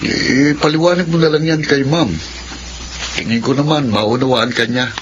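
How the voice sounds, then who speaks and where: A man's voice: medium pitch, steady tone, normal speed, natural voice. An actor playing a curious, sympathetic male co-worker with a Filipino accent in a radio drama. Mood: reassuring. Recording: dull, slightly noisy radio recording.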